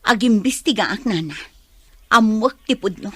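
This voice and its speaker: A woman's voice: mid-range voice, lively intonation, measured pace, a bit bright, husky, no room sound. A Filipino-accented woman playing a hurt, suspicious wife in an emotional, pleading radio drama style. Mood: sorrowful. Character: hurt, suspicious wife confronting her straying husband.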